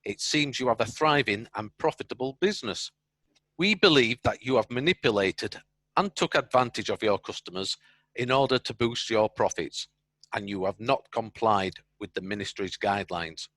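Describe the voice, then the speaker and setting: A man's voice: fairly low pitch, moderate pace, fairly bright tone, no room sound. A man acting in a radio drama as a stern chairman of magistrates, formal and authoritative.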